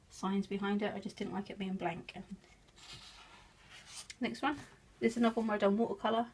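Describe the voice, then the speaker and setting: A woman's voice: mid-range voice, slightly rough. A woman with an English accent, chatting casually as she shows her craft work, laughing often and joking about herself. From England. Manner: chatty, giggly, self-deprecating. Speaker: craft vlogger. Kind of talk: casual show-and-tell narration.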